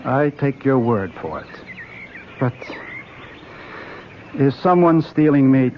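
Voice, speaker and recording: A man's voice: medium pitch, lively intonation, takes it slowly. An actor playing an earnest, easily exasperated younger man on an expedition, emphatic and questioning, in an old-time radio drama. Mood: puzzled. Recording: dull vintage radio recording, faint noise.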